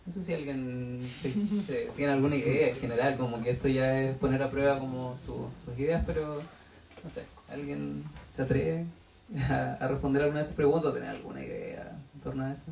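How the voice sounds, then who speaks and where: A man moderating a book event, reading discussion questions to the audience in a relaxed, hesitant way with lots of fillers. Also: medium pitch, a little fast, creaky. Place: bookshop event room. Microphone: distant room mic. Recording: echoey room, voice far from mic, faint noise.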